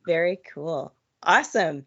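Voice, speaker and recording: Podcast host lady, lower voice, upbeat and affirming, muffled audio. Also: lively intonation, measured pace, a bit bright. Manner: encouraging, affirming responses. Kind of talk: interview questions, casual.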